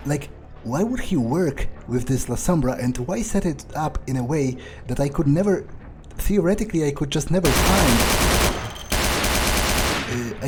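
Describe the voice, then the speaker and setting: A man voicing an arrogant, brooding vampire in a game playthrough, musing aloud in a halting, profane way. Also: on the high side, heavy background noise. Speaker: gaming YouTuber. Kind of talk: in-character game playthrough monologue, thinking aloud.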